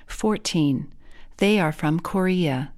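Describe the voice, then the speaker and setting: A woman teacher reading a scripted repeat-after-me language drill in short, deliberate, clearly separated phrases. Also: fairly low pitch, a little mellow.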